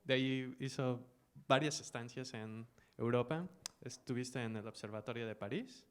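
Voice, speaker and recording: A man's voice: medium pitch, moderate pace, clean tone. A man with a Mexican Spanish accent hosting a university colloquium, introducing the guest informally and with some hesitation. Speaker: colloquium host. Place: university auditorium.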